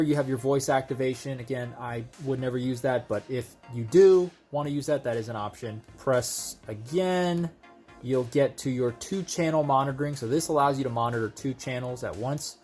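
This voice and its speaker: A man's voice: mid-range voice, darkish tone. A man giving a casual, conversational hands-on product demonstration, explaining things step by step as a video host.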